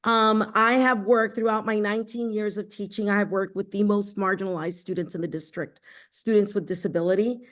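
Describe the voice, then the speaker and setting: Middle-aged female candidate introducing herself in a campaign speech, average pitch. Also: steady tone, brisk. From born in El Salvador, raised in Los Angeles. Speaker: school board candidate, teacher and union leader.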